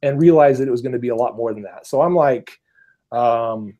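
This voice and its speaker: A man on a podcast as the guest, talking casually in a relaxed, agreeable way. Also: mid-range voice, a little fast. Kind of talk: casual chat.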